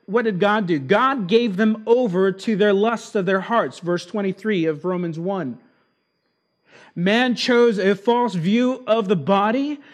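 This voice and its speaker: High-voiced pastor preaching emphatically. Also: male voice. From Argentina. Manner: emphatic, slows to stress key words. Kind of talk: expository sermon.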